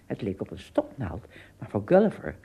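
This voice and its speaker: Very husky, low-pitched female storyteller. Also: wildly swooping pitch, moderate pace, mellow. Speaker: narrator. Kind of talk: scripted storytelling narration for a radio play.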